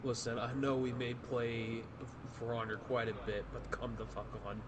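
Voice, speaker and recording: A man's voice: medium pitch, level tone, moderate pace. A man chatting while gaming with a friend, joking crudely and swearing. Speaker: gaming partner. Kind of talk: casual gaming chat. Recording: clear background noise.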